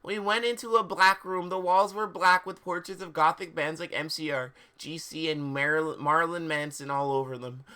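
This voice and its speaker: Bright-voiced man doing a dramatic reading. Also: on the high side, steady tone, normal speed, few pauses, clean tone. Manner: stumbles over misspellings, reads with mock seriousness. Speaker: streamer reading fan fiction aloud. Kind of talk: live dramatic reading with amused asides.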